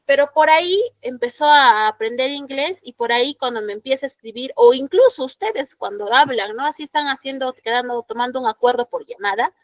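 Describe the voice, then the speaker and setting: Peruvian woman teacher, higher voice. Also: animated, a little fast, few pauses, no echo. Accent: Peruvian Spanish. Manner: explanatory, frequent "¿no?" checks, rhetorical questions to students. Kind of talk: classroom lecture.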